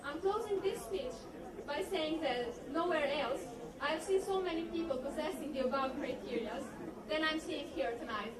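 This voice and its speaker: A young woman reads an earnest, formal speech to a party of former students. Her voice sits high. The mic is far away in a reverberant hall, and a loud crowd chatters behind her. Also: normal speed. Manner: earnest, formal, reading from notes. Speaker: alumna giving a speech.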